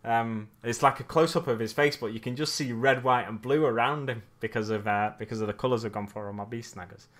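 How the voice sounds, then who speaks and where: A man's voice: medium pitch, fairly quick, few pauses, fairly bright tone. A man chatting casually with his live chat while painting miniatures, chatty and self-mocking. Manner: chatty, self-mocking, slips into playful accents.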